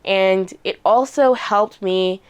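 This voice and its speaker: A man's voice: sits high, takes it slowly, smooth. A young man from Canada, a guest on a talk show, chatting casually about his family with many fillers. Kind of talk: casual conversation.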